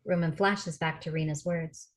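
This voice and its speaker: A woman's voice: deep voice, steady tone, moderate pace. A woman narrator reading stage directions from a film script at a table read. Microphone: video call.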